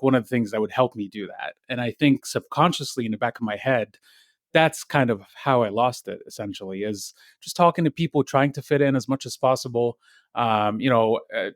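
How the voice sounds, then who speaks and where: A young man from Egypt, a guest on a podcast, speaking in a soft and friendly way with many ums. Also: mid-range voice, dry recording, studio quality. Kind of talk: casual interview.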